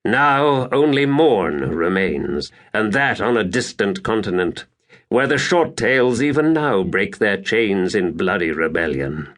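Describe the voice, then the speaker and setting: A man's voice: on the low side, expressive, slow pace, fairly bright tone. A man voicing a victorious, silver-tongued warrior commander in a fantasy audiobook, speaking in a grand, formal, declamatory way. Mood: confident, scheming. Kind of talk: dramatic audiobook character reading.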